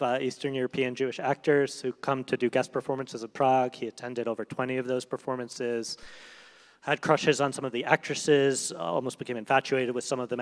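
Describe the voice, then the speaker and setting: Man, panel answer, measured, halting restarts, reverberant hall. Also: mid-range voice. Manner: thoughtful, measured, many fillers and restarts. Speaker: translator answering audience questions. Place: event hall on stage.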